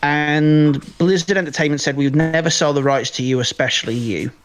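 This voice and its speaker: A man's voice: a little high, brisk, a little hiss or hum. A man co-hosting a podcast, giving opinionated, emphatic film commentary in casual chat, often repeating phrases for stress. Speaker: podcast co-host. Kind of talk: casual film review discussion.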